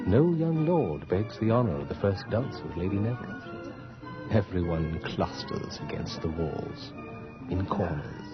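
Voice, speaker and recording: A man playing an observant, formal gentleman with a measured, wry manner in a radio drama. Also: low-pitched, expressive. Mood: hushed, descriptive. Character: observant, wry gentleman attendant to a great lady. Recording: muffled old radio recording with music.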